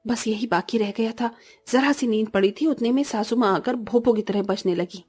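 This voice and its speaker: A woman's voice: on the high side, normal speed, darkish tone, slightly rough, soft background music. A woman playing a young bride in a cartoon family drama who is polite on the surface and resentful underneath. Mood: sarcastic, seething.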